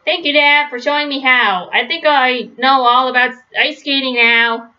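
A woman's voice: high voice, moderate pace, lightly acted. A woman voicing an eager, polite young boy bear with a cheeky streak in a storybook. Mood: keen. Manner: keen, polite, questioning. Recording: dull, lo-fi webcam sound.

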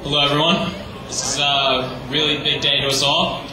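A teenage boy giving an earnest, slightly halting graduation speech as salutatorian. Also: medium pitch, flat delivery. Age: teens. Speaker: graduating student. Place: large hall or gym. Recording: echoey hall, far from the mic, noisy.

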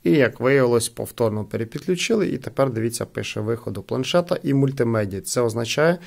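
Man, gravelly creak, casual product review, halting, thinking aloud. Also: mid-range voice, expressive, darkish tone. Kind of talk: casual unboxing commentary.

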